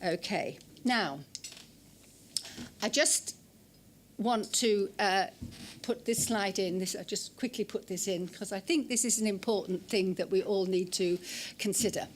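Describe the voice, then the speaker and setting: A woman's voice: medium pitch, measured pace, slight background noise. An older woman from England giving an academic lecture in a lecture room, forthright and blunt, with frequent ums and restarts. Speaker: guest lecturer. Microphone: hand mic.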